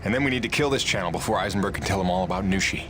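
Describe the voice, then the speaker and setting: A man playing a steady, weary rig pilot who leads a rebellion in a game cutscene, plain-spoken and reassuring. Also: low-pitched, racing, background noise, close to an everyday voice. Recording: loud music behind the voice.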